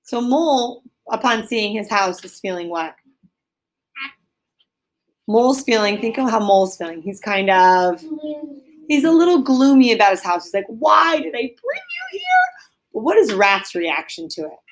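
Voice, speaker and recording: A woman's voice: medium pitch, lively intonation, normal speed. A woman reading a storybook aloud as a teacher to children, explaining as she goes and prompting with questions.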